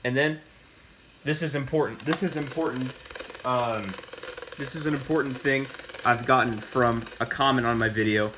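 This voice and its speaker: An American man hosting a casual hands-on how-to, speaking haltingly and often restarting or repeating his phrases, recorded on a phone. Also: mid-range voice, background noise. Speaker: tutorial host. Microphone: phone camera recording the demonstration.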